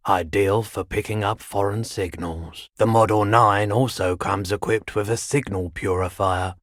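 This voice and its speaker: An actor playing a laid-back, world-weary pawnshop owner in a video game, husky, soft-spoken and wistful, with an unhurried, low-key delivery. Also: male voice, fairly even intonation, no echo, studio quality. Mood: businesslike, relaxed. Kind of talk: video game character dialogue.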